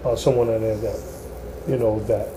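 A man talking earnestly in a barbershop discussion, pausing often with "um". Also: fairly low pitch, flat delivery. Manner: hesitant, many "um"s, earnest. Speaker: panelist. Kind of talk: casual discussion. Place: small indoor barbershop. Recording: air conditioning hum.

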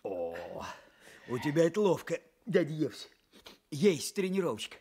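An actor playing a brash, teasing young village know-it-all in a radio play, breezy and slangy with bits of pompous official jargon. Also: male voice, a little high, big pitch swings, unhurried, creaky, warm tone, husky, heavily acted. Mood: jovial, teasing. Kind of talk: Soviet radio play acting.

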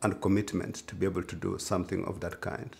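A man giving a deliberate, formal interview soundbite about agriculture. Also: low voice, little pitch movement, gravelly, a little mellow, a little hiss or hum.

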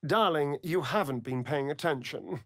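An actor playing a flamboyant, sardonic vampire rogue in a video game, with an arch, drawling, theatrical delivery. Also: male voice, fairly high pitch, fairly bright tone, no room sound, clean studio sound.

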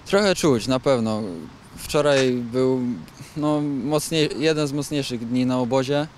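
A man's voice: medium pitch, measured pace, slight background noise. Young man hesitating after a match. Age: young adult. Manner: hesitant, with restarts and fillers. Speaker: footballer. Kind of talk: post-match interview. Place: outdoor football pitch at night. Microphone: handheld interview mic.

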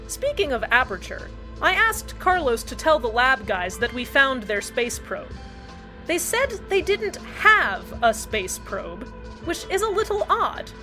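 A woman's voice: high-pitched, pushed and bright. A woman hosting a scripted community radio show, delivered in a cheerfully matter-of-fact way. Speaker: radio host. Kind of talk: scripted fan-fiction radio narration.

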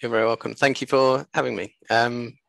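A man in his thirties talking casually as an interview guest, telling anecdotes in a halting way over a video call on a headset. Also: mid-range voice, fairly even intonation, creaky, fairly bright tone, no echo. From born in Japan, later moved away. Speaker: interview guest, seaweed business co-founder. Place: small room at home, video call.

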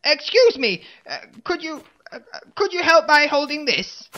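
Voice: sits high, big pitch swings, unhurried, muffled recording. An actor voicing a hapless, theatrically boastful wannabe supervillain in a comedy audio drama, grandiose one moment and meekly awkward the next. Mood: sheepish, awkward. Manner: grandiose threats collapsing into meek mumbling.

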